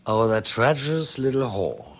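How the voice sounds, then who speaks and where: A man's voice: medium pitch, very animated, normal speed. A man playing a furious, foul-mouthed medieval king in a video game cutscene, imperious and sarcastic. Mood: explosive rage. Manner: imperious, sarcastic, erupting into bellows.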